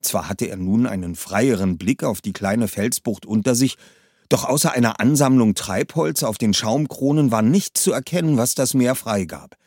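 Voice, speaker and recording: A man's voice: medium pitch, normal speed, a little mellow, slightly rough, dry recording, studio quality. A man reading a suspense story aloud in a tense, dramatic narration style. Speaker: reader. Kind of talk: audiobook narration.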